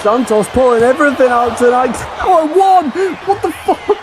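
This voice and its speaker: A man calling a wrestling match play by play, excitable and often shouting over the action. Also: very high voice, normal speed. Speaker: play-by-play commentator. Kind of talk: wrestling commentary. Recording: loud crowd and noise behind.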